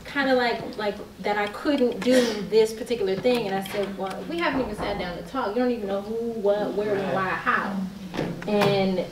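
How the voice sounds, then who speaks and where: A woman's voice: mid-range voice, normal speed, background noise. Chatty woman painter in a roomy hall. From Puerto Rican heritage. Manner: chatty, animated, with many fillers. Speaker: panel artist, painter. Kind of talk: casual panel talk. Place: large hall, on stage. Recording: echoey hall from far off.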